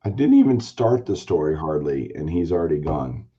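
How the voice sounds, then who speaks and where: A man hosting a casual card-collecting chat show, telling a long story in a rambling, animated way with a hoarse, raspy voice. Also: a bass voice, normal speed, lo-fi sound. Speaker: podcast host, baseball card collector.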